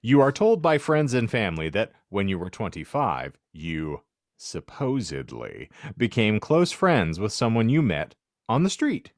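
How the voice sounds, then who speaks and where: A man co-hosting a casual podcast, bantering and reading aloud with deliberate emphasis and joking asides. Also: on the low side, wildly swooping pitch, dry recording, clean studio sound. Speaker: podcast co-host.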